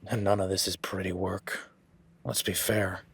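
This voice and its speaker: A man's voice: low-pitched, level tone, a little slow, fairly bright tone, husky, exaggerated voice. A man voicing an easygoing male cowboy ranch hand in an audio roleplay, talking in a laid-back, folksy way with casual swearing.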